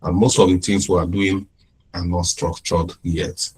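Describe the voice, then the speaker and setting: A man with a Nigerian accent giving an informal classroom lecture, unhurried, often repeating and restarting his phrases. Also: low-pitched, little pitch movement, a little mellow, husky, low-quality recording.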